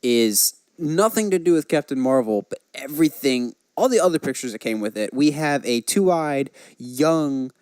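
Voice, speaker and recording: A man's voice: medium pitch, expressive, moderate pace, few pauses, a little mellow, clear, resonant tone, dry recording. A man hosting a podcast in casual, enthusiastic, conversational chat. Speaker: podcast host.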